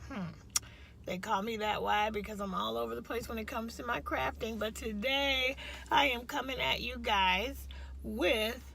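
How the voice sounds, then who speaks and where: A woman vlogs from the driver's seat of a parked car, her voice bright and a touch high. She rambles cheerfully and laughs easily. A leftover cough lingers in her speech. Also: vocal fry, slight background noise. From Chicago, US Midwest. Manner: chatty, rambling, laughs often. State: slight cough, recovering voice. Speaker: craft vlogger. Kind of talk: casual chat to camera.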